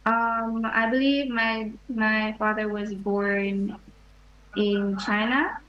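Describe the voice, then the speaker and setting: A teenage girl from Jamaica, interviewed over a video call, answering softly and hesitantly. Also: mid-range voice, fairly even intonation, slow pace. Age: late teens. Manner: soft, hesitant, many ums. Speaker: student guest. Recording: dull lo-fi video call audio.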